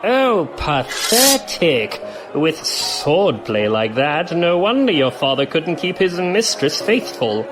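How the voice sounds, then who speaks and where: An actress playing a scornful, taunting noble duelist in an audio drama, with sneering, drawn-out delivery. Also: female voice, low-pitched, sing-song, over music, exaggerated voice.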